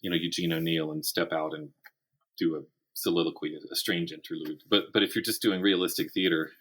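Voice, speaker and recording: Male interview guest, writer type, very low voice, explanatory, works out thoughts aloud. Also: steady tone, a little fast, fairly bright tone, husky. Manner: explanatory, thinks aloud with self-corrections. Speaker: guest author and audio drama writer. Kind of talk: conversational interview about the writing craft.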